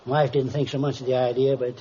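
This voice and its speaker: Folksy, eager old-radio shopkeeper acted by a man, garrulous henpecked husband, mellow delivery, dull noisy vintage recording. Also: medium pitch, level tone, fast, natural voice. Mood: folksy, chatty. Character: folksy, chatty, henpecked small-town-style shopkeeper husband. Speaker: crime victim reporting stolen checks. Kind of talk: 1950s radio drama acting.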